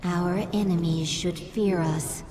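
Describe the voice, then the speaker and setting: A woman's voice: fairly low pitch, fairly even intonation, takes it slowly, mellow. A woman playing a loyal, menacing alien servant of the swarm in a video game, solemn and declamatory. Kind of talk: dramatic video game acting. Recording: long reverb, distant.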